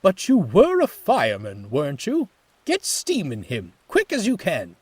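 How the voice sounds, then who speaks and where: A man voicing a stern, exasperated male railway manager who speaks loudly and sighs. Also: high voice, huge pitch swings, slow pace, breathy edge, voice pushed up, full character voice. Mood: impatient. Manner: loud, sighing and exasperated. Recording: music playing behind.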